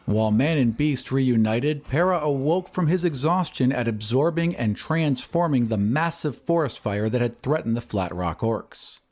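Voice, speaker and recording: Guy narrating a fantasy story recap, scripted and dramatic, mid-pitched voice. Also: expressive, moderate pace, hardly any pauses, darkish tone.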